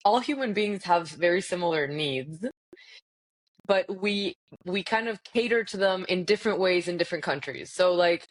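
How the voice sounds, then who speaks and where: Woman, 30s, from Spain and the US; deep, lively, laughing guest. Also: normal speed. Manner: animated, laughs often, playful asides. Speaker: leadership coach guest. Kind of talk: casual interview.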